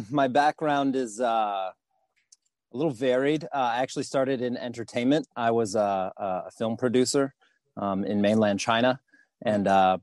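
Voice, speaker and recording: A man speaking as a podcast guest about his career and fundraising, pausing often to restart his sentences. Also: mid-range voice, a little mellow, dry recording, studio quality. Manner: halting, many fillers and restarts. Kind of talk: podcast interview.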